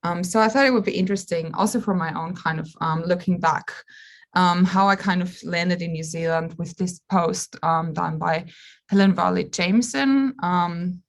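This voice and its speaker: A woman from Austria with an Austrian German accent, giving a halting online conference talk as a media artist. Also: fairly low pitch. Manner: halting, searching for words as she goes. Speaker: media artist presenting on a panel. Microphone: video call.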